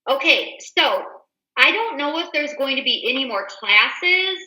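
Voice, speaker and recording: Female host, high and echoey, casual newsletter read, emphatic and direct to listeners. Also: lively intonation, measured pace, bright, forceful tone. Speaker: show host. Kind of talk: casual co-hosted talk, reading a newsletter aloud. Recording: roomy, echoey indoor sound.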